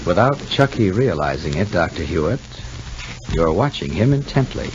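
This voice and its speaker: A man announces for 1950s radio. His scripted delivery is extremely animated in pitch, moving across a wide range. There is faint noise on the old recording. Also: moderate pace, highs cut off. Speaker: announcer and narrator.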